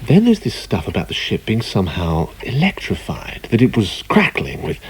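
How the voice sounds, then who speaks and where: An actor playing a sardonic, grumbling reporter husband with a dry, sarcastic manner in a radio drama. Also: male voice, lowish voice, huge pitch swings, normal speed, breathy edge, full character voice, poor audio. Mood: intrigued.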